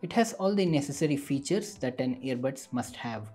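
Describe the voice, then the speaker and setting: Mellow male tech reviewer, slightly husky. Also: medium pitch, expressive, moderate pace, soft background music. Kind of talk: scripted product review narration.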